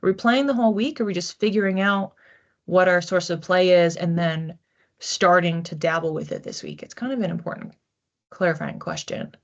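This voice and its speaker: Female psychic reader, lowish pitch, informal and conversational, thinking aloud; slightly muffled lo-fi recording. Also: fairly even intonation, normal speed. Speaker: psychic reader hosting a weekly collective reading. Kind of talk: casual, conversational card reading to an audience.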